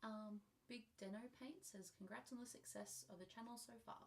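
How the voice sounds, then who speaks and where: A woman's voice: mid-range voice, fairly even intonation, brisk. A woman co-hosting a live stream who reads the chat messages aloud in a light, playful way. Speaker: co-host reading the live chat.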